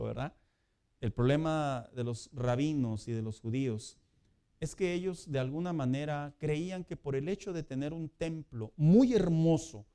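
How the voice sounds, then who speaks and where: Man preaching with a mellow voice. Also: mid-range voice, clear, resonant tone. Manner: conversational preaching, rising to loud emphatic peaks. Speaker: pastor. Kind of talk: sermon.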